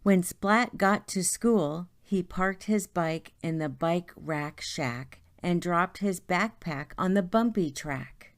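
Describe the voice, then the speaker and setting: A woman reading a children's story aloud in a deliberate, expressive way. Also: on the low side. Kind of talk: read-aloud story narration.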